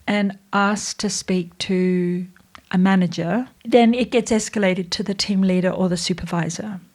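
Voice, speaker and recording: A woman with an Australian accent and a slightly croaky voice, explaining career changes in a conversational solo video with wry asides. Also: mid-range voice, a little mellow. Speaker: educator on careers and AI.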